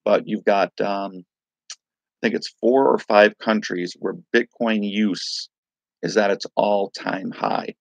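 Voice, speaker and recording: A man with a raspy voice from a night of singing, hosting a casual crypto livestream, rambling loosely and greeting viewers by name. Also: on the low side, fairly even intonation, slow, no echo, lo-fi sound. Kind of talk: casual live-stream chat.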